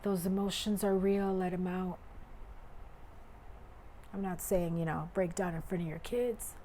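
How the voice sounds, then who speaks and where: A woman doing a tarot reading for her viewers, her voice raspy and hoarse while she recovers, speaking earnestly and sometimes dropping to a whisper. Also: on the low side, steady tone, a little slow, dark tone, slight background noise. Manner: earnest and emphatic, drops to whispers, occasional cussing. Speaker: tarot reader.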